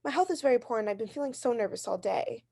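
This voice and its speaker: A woman's voice: on the high side, big pitch swings, fast, vocal fry, dark tone, dry recording, studio quality, exaggerated voice. A woman playing a neurotic, fretful invalid housewife in a 1940s radio drama, anxious and insistent.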